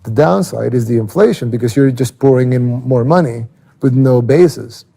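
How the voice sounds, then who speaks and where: A man with a Salvadoran Spanish accent speaks in a very mellow, dark voice at an average pitch. A gravelly creak runs through it. He explains casually, as in an interview, often pausing and repeating a word before moving on. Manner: halting, repeats words while thinking.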